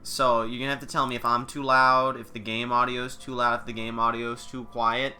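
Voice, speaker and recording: A man streaming a video game live, chatting casually in a nasal, congested voice, rambling excitably in gamer slang. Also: medium pitch, a little fast, soft background music. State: congested from a cold. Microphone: desk mic.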